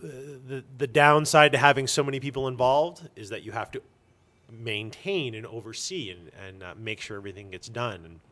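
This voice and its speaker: A man's voice: medium pitch, a little fast, a bit bright. A man giving long, emphatic explanations as a guest in a casual interview. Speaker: interview guest, comic shop owner.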